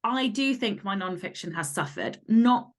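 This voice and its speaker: A woman speaking as a guest author and podcaster in casual interview chat, candid, animated and emphatic. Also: a little high, moderate pace.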